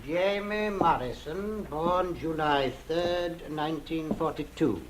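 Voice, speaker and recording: An actor playing a greedy charlatan doctor in an old-time radio suspense drama, hesitant and insinuating. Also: male voice, on the high side, slow, a little hiss or hum, low-quality recording. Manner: hesitant, insinuating, mock-reluctant.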